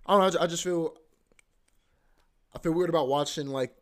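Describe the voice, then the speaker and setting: A man's voice: fairly high pitch, brisk. A young man hosting a podcast, rambling casually with lots of profanity, repeating himself and filling gaps with "bro" and "you know what I mean". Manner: repeats himself, often restarts. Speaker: podcast host.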